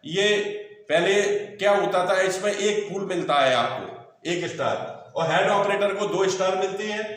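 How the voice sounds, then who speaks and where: High-voiced man teaching, very echoey. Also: unhurried, fairly bright tone. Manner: instructive, reassuring, mixes in English terms. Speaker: exam coaching teacher. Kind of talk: explanatory online lesson, point by point. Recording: very echoey room, distant mic.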